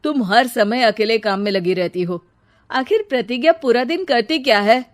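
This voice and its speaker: A woman playing an irritable young husband who complains bluntly and scolds forcefully, as in a storybook. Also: on the high side, moderate pace, lightly acted. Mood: concerned. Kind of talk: storybook character voice.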